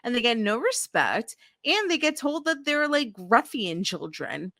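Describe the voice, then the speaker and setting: A woman's voice: on the high side, very animated, a little slow, pushed and bright, no echo. Closely recorded, dry-sounding woman chatting. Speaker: podcast co-host. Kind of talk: casual chat.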